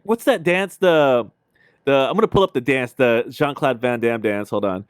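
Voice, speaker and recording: A man's voice: mid-range voice, moderate pace, clear, resonant tone, dry recording, clean studio sound. A man co-hosting a casual livestream, telling stories in a slangy, rambling way. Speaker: podcast co-host.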